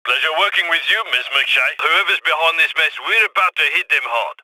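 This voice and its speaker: Crisp heist planner. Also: male voice, fairly high pitch, a little fast, pushed and bright, poor audio. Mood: commanding. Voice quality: crisp commanding instructions. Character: brisk male heist planner on comms, British. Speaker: mission handler. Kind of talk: video game voice lines.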